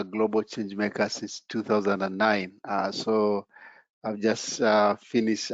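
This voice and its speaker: A man from Congo who grew up in Uganda, telling his story as a panelist on an online panel. He speaks haltingly, filling pauses with "uh" and "you know". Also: fairly low pitch, no room sound. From Democratic Republic of the Congo, raised in Uganda. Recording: muffled video call audio.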